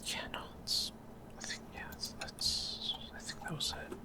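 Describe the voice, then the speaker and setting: Whispering man, casual ASMR gamer. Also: a little slow. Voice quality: breathy unvoiced whisper. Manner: casual, rambling, hesitant. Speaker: gaming ASMR creator. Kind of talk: whispered ASMR gameplay commentary.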